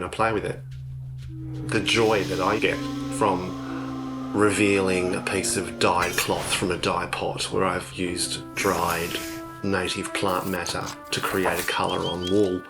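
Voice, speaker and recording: A low-pitched man speaks reflectively, as in a documentary interview. His phrasing is thoughtful and a little hesitant. Music plays clearly behind his voice. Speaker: textile maker.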